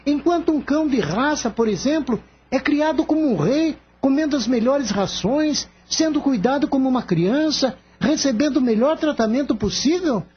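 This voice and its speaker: Brief: a performer as polite young Brazilian male assistant in radio play; earnest, pleading, very expressive, slightly muffled recording. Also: sits high, normal speed, hardly any pauses. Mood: earnest, insistent. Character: earnest, gentle young animal-loving male veterinary assistant. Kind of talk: Brazilian radio drama acting.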